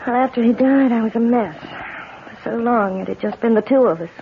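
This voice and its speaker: A woman's voice: a little high, moderate pace, lightly acted. An actress playing an anxious, emotional young American woman in a 1970s radio drama. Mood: hesitant, subdued. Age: young adult. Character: anxious young American woman, grieving, prone to terrified visions. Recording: dull 1970s radio broadcast, hissy noise.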